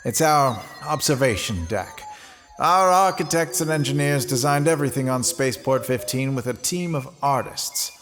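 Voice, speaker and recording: In a long reverb with music beneath, a man plays a grave, courteous commander of a remote space station. He speaks formally and at length, in a mid-pitched voice, as in a radio play. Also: lively intonation, fairly bright tone, close to an everyday voice. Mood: wistful, grave. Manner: measured, formal, speechifying. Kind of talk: audio drama acting.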